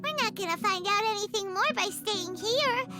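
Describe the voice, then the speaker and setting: A woman voicing a small, excitable, blunt childlike fairy companion in a video game, with a squeaky, indignant way of talking. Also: normal speed, over loud music. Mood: resigned. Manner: squeaky, speaks of self in third person, indignant.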